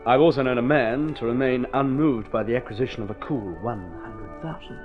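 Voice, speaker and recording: A man's voice: medium pitch, brisk. A man narrating a story in vintage radio drama style, with an urbane, wry, theatrical manner. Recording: dull old radio recording with music.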